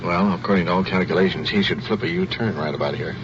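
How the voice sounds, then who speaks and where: A man's voice: low voice, quick pace. An American man giving matter-of-fact live commentary and casual remarks from a police ride-along. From United States. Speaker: radio show host riding with police. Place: inside a moving police car. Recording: dull 1950s field recording, very loud car noise.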